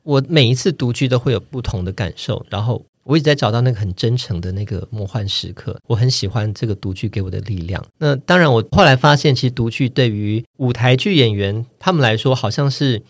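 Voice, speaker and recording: Fast-talking man with Taiwanese Mandarin accent, crisp diction. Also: mid-range voice, no room sound. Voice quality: clearly enunciated, standard pronunciation. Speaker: voice actor, podcast guest. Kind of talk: conversational interview answers.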